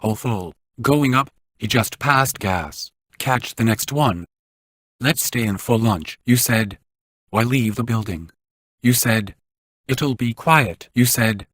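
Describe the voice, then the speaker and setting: Sarcastic male grumbler, video game, unhurried drawn-out lines, medium pitch, no room sound. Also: fairly bright tone, no room sound. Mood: sarcastic griping.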